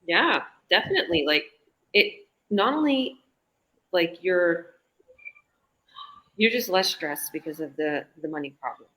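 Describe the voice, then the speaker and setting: A woman's voice: on the low side, expressive, a little slow, pauses often, bright, forceful tone, rough recording. A woman, an online business owner, chatting enthusiastically on a group video call with many restarts.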